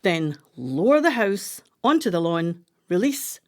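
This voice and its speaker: A woman's voice: on the low side, big pitch swings, unhurried, darkish tone. A woman playing a scheming older female pensioner in a radio play, speaking in a theatrical, conspiratorial way. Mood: conspiratorial, businesslike.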